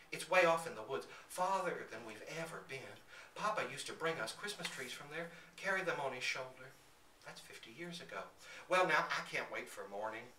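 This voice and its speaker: Man as a childlike old woman, high-pitched. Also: bright, forceful tone. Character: childlike, tender elderly Southern woman, eccentric and wistful. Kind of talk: acted storybook reading. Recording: roomy, distant mic, faint background noise.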